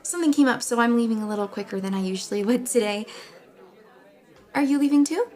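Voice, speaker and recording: A woman playing a caring, awkward young friend in an intimate audio drama, with a soft, earnest, stammering manner. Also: a little high, quick pace, some chatter behind, lightly acted. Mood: friendly, awkward.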